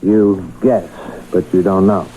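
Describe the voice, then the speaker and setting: An actor in a radio drama playing a paternal but suspicious boss who speaks with measured, probing authority. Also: male voice, deep voice, fairly even intonation, mellow. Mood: stern, probing. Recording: muffled old radio broadcast, faint noise.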